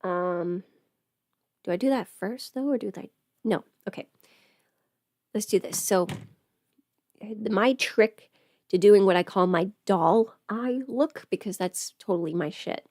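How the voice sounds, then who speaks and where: A woman's voice: mid-range voice, measured pace, pauses often, a little mellow, no room sound. Chatty woman rambling in a dry close recording. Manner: chatty, rambling, thinking aloud, sometimes playful. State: sick with a cold or illness. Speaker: livestream host and audio performer. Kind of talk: casual makeup livestream chat.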